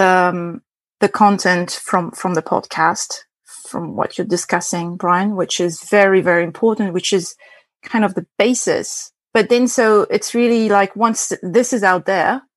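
A woman's voice: mid-range voice, no echo. Chatty French-accented woman, very dry sound. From France. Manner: chatty, laughing, many restarts and fillers. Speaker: guest data scientist. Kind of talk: casual interview.

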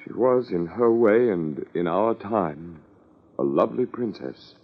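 An actor playing a stiff, evasive, easily affronted husband in a 1950s radio drama, speaking formally and hesitantly. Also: male voice, on the low side, lively intonation, a little slow, husky, exaggerated voice. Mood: shaken, wistful. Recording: muffled old radio recording.

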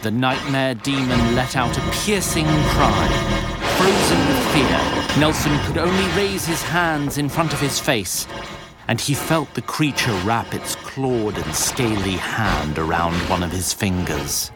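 A man playing a storyteller, giving deliberate, suspenseful dramatic narration of a nightmare in an audio drama. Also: mid-range voice, lively intonation. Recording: loud music under the voice.

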